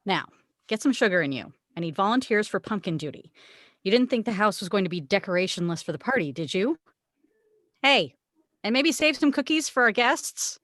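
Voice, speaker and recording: A woman's voice: medium pitch, lively intonation, a little fast, a bit bright, dry recording, clean studio sound, natural voice. A woman reading the part of a loving, superstitious mother who anxiously looks out for her daughter, in an amateur script read. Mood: warm, reassuring.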